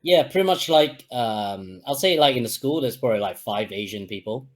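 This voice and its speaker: A man's voice: medium pitch, moderate pace. Man from Hong Kong, UK-raised, laid-back guest who rambles through his background. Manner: relaxed, rambling storyteller. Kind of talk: casual interview chat.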